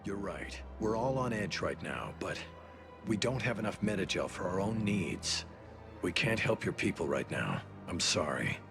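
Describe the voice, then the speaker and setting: An actor playing a stern, overstretched official in a video game, firm and curt. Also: male voice, sits low, big pitch swings, moderate pace, over music. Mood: apologetic. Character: stern, overstretched male official refusing pleas.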